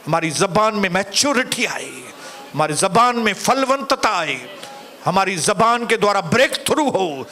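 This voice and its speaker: A male pastor preaching a sermon, calling out to the congregation and later praying softly. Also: big pitch swings. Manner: preaching, rising to shouted calls to the congregation; soft, low, slow in prayer. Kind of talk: sermon and closing prayer.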